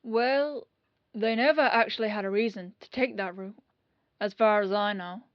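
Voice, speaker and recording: A woman playing a dogged police detective in an audio roleplay, questioning in a folksy, falsely casual way with pauses. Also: medium pitch, no echo, dull audio, lightly acted. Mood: casual suspicion. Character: dogged female police detective, casually probing, quietly suspicious.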